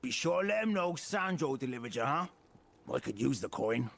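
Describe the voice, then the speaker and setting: An actor playing a roguish, chummy port guide in a fantasy game, with a raspy, breezy, colloquial delivery. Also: male voice, medium pitch, very animated, moderate pace, a bit bright, a little hiss or hum.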